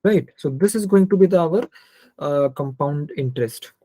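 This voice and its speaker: Male online maths tutor, Indian accent, relaxed mellow tone, close dry sound, code-switching, interactive step-by-step delivery. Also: on the high side, moderate pace, no echo. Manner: code-switches between Hindi and English, checks in with students often. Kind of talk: interactive online lesson, working through problems aloud.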